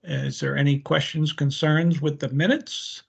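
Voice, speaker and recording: A man's voice: a little high, fairly even intonation, unhurried, gravelly, slightly rough. A man chairing a formal online committee meeting as a co-chair, working through procedure. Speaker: meeting co-chair, government agency staffer. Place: indoors on a video call. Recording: dull, lo-fi video call audio.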